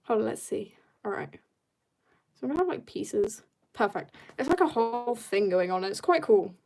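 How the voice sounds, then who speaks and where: Chatty creaky-voiced woman livestreaming her drawing. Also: mid-range voice, sing-song, moderate pace, faint music behind. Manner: chatty, reads out viewer comments and answers them; drifts into quiet mumbling to herself while drawing. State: tired. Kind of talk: casual livestream chat while drawing.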